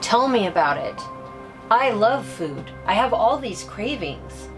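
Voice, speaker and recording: Lady acting as concerned mom asking questions, sympathetic, talks real slow, music clearly playing behind her. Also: medium pitch, big pitch swings. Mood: curious. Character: concerned, curious mother seeking diet advice. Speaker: interviewer.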